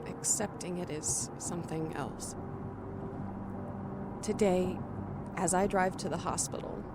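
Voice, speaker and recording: A woman playing an anxious, grieving daughter who narrates her own thriller in a somber, measured, introspective way. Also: lowish voice, very noisy. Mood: somber, weary. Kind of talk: dramatic first-person audio drama narration.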